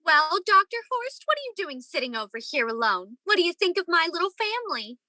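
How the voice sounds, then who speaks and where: A woman's voice: very high-pitched, very animated, fairly quick, bright, forceful tone, no echo, studio quality, full character voice. A woman playing a doting, chatty, homey mother of grown sons in a radio play. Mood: sympathetic.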